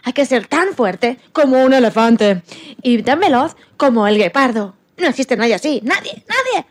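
A woman's voice: high voice, big pitch swings, moderate pace. A woman playing a scornful, disbelieving jungle animal in an amateur radio play. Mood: dismissive.

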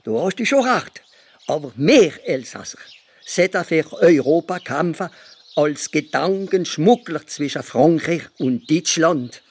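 High-voiced sardonic Alsatian editor. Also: male voice, animated, unhurried. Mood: earnest. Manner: colloquial dialect speech. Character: sardonic patriotic Alsatian newspaper editor, idealistic friend. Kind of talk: radio play dialogue.

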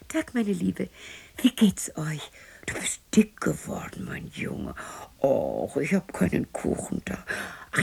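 Husky, eccentric widow in her sixties. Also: a little high, heavily acted. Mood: eager, pleading. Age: 60s. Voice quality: husky, breathy, creaky. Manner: theatrical, muttering to herself, swinging into shouts. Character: lonely, eccentric, defiant sixty-year-old German widow talking to herself. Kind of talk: radio drama monologue acting.

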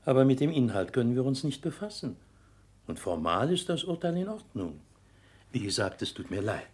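An actor playing a polite, formal high official in a 1960s German radio drama, regretful yet evasive. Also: male voice, sing-song, a little mellow, breathy edge. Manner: formal, courteous, measured.

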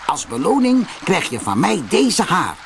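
A man's voice: sits high, wildly swooping pitch, measured pace, vocal fry, slight background noise, voice pushed up, full character voice. A man voicing a big, bossy, threatening cat in a storybook, with an imperious manner. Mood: approving.

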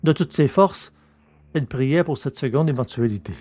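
Measured male story narrator, medium pitch. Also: mellow. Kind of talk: scripted narration of a science-fiction story.

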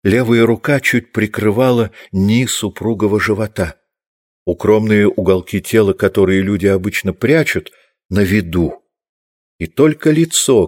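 Male audiobook narrator, low register, measured scripted storytelling. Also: a little mellow.

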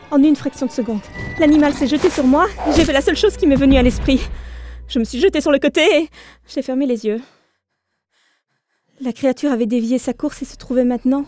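A woman acting as a wry, curious novice adventurer telling her story in a fantasy audio drama. Her voice is fairly high yet very soft-toned and mellow, and faint music plays underneath. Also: natural voice. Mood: alarmed, then tense. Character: young female ranger, novice gamer, wry and curious narrator. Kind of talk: first-person audio drama narration.

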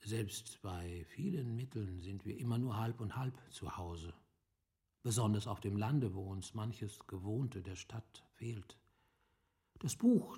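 An actor playing a worldly, resigned older gentleman guest in a radio play, speaking in a measured, reflective way with a creaky voice. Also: lowish voice. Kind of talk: literary radio play dialogue.

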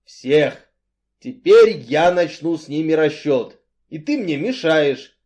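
A man voicing a brooding, weary strongman who speaks bluntly and emphatically in halting, colloquial phrases. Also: a little high, expressive, a little mellow. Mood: embittered.

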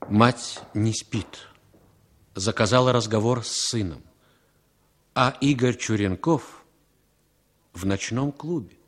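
An actor playing the knowing narrator of a radio drama, measured, dramatic and lightly ironic. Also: male voice, lowish voice. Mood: measured, hushed. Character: measured, knowing storyteller of a political radio play.

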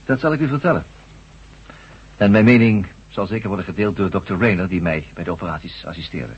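An actor playing an authoritative professor of brain surgery in a radio drama, formal, measured and lecturing. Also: male voice, sits low, breathy edge. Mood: grave, emphatic. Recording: dull lo-fi old radio recording.

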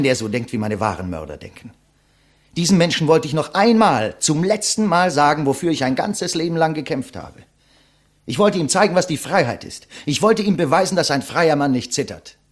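A man's voice: fairly high pitch, sing-song, fairly quick. An actor playing a proud, defiant writer facing his executioner, eloquent and sardonic, in the style of a 1950s German radio play. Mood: impassioned, defiant.

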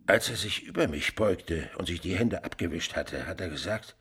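Frightened peasant under questioning in a radio drama: low, gravelly, evasive, deferential, halting. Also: male voice, lively intonation, fairly bright tone, soft background music, exaggerated voice. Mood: dazed, halting. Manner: halting, repetitive, servile. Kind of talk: period radio play acting.

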